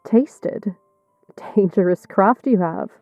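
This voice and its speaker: An actress playing a guarded, quietly compassionate woman traveller in an audio drama, with a terse, sober, measured delivery. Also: mid-range voice, dry recording, phone-quality sound, faint music behind. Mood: dry, curious. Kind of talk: audio drama character acting.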